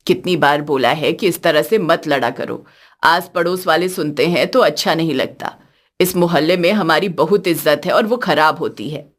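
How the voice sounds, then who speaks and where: A woman's voice: deep voice, moderate pace, voice pushed down. A woman voicing a stern, weary older mother-in-law in a cartoon story, firm and admonishing. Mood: scolding. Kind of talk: Hindi cartoon story acting.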